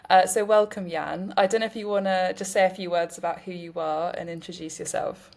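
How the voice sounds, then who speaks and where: A woman's voice: fairly low pitch, fairly even intonation, a little fast, a little mellow. A woman hosting a conversational podcast interview, speaking in a gracious, informal way. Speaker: podcast host and poet.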